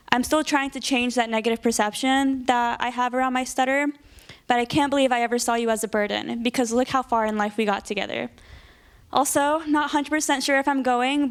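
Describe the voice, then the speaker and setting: Young woman, bright clear heartfelt voice. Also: a little high. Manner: heartfelt, addresses her stutter directly. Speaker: student speaker. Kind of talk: prepared personal speech.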